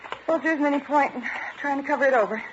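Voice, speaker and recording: A woman's voice: sits high, rapid-fire, lightly acted. Guarded, lovestruck married woman played by an actress. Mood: uneasy, confiding. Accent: American. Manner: earnest, defensive, melodramatic. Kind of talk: 1940s-50s radio drama acting. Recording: dull, faintly noisy vintage radio recording.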